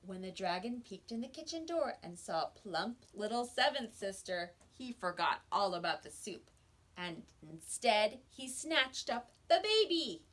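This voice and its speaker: A woman teacher reading a picture book aloud to young children with expressive storytelling and dramatic pauses. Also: medium pitch. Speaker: kindergarten teacher.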